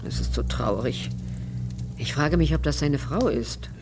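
A woman's voice: sits low, lively intonation, measured pace. Concerned, chatty woman helper for crime radio drama, plain-spoken, faint music underneath.

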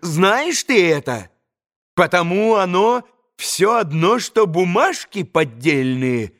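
A man's voice: high-pitched, big pitch swings, takes it slowly, a bit bright, heavily acted. A man voicing a rude innkeeper in a storybook reading, blunt and taunting, full of accusations and threats. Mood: menacing.

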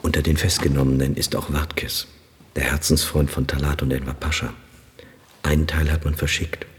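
Very deep, solemn priest, long reverb. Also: male voice, level tone. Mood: grim. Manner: solemn, measured, formal. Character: grave, resigned, cautious Armenian village high priest. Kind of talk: German radio drama acting.

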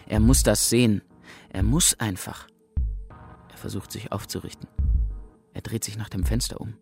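Worn-out prisoner guy in a radio play, creaky lowish voice, terse and urgent, faint music under him. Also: fairly even intonation, normal speed, a little mellow. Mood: weary, urgent. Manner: stark, terse, urgent delivery. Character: exhausted male camp prisoner awaiting liberation. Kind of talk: first-person radio drama monologue.

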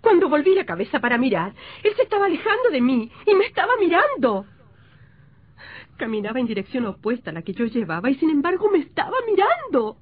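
An actress playing a frightened, insistent young wife in an old radio horror drama, anguished and breathless. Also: female voice, sits high, wildly swooping pitch, a little fast. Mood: haunted, uneasy. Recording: muffled, thin old radio recording.

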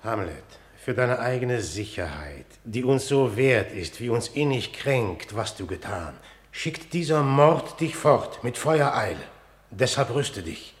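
A man's voice: mid-range voice, expressive, unhurried, lightly acted. An actor playing a stern, calculating middle-aged king with a formal, commanding manner in a German radio play of classical drama. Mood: cold, controlled. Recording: echoey, reverberant room.

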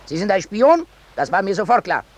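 Blustering Balkan major, barking, suspicious, husky voice, swooping pitch, old radio play. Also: male voice, big pitch swings. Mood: suspicious, indignant. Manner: barking, pompous, slow-witted. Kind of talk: 1950s German radio drama acting.

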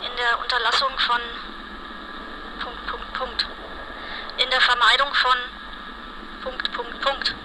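A performer voicing a weary, alienated, sexually indefinite inner voice, feeble and halting, in an experimental radio monologue. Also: mid-range voice, flat delivery, background noise, close to an everyday voice. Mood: drained, gloomy. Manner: slow, halting, deliberate monologue. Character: weary, alienated, androgynous inner voice, gloomy and listless.

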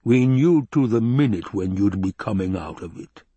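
A man voicing an obese, sinister male baron with a rumbling basso voice, slow and taunting, in an audiobook. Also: expressive, dark tone, breathy edge, no echo. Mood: gloating. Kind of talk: audiobook character voice.

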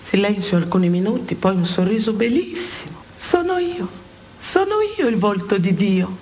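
An actress playing an impassioned heavenly examiner, lecturing souls with emphatic, theatrical delivery and dramatic pauses in a radio play. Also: female voice, medium pitch, animated, darkish tone, slight background noise, natural voice, poor audio. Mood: grave, narrating.

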